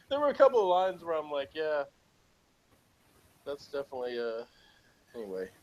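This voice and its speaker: A man's voice: on the high side, big pitch swings, moderate pace. A man chatting casually as a co-host, laughing a lot and rambling with restarts. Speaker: co-host reviewing a TV episode. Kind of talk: casual chat. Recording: dull lo-fi sound.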